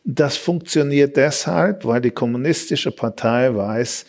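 A man's voice: mid-range voice, a little mellow. A man explaining his view as an expert guest in a debate, with emphatic, punchy statements. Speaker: economic expert, panel guest.

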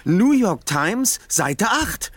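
An actor playing a brainy, methodical young detective in an audio drama, speaking deliberately. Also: male voice, high-pitched, very animated, fairly bright tone, husky, dry recording, exaggerated voice. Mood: concentrating, puzzled. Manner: deliberate, spelling out letters.

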